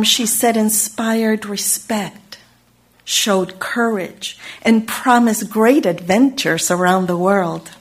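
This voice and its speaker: Woman speaker, unhurried and solemn, sermon delivery. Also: fairly high pitch. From Chile. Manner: measured, solemn, lingering on single words. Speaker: Unitarian Universalist speaker. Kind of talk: slow reflective sermon.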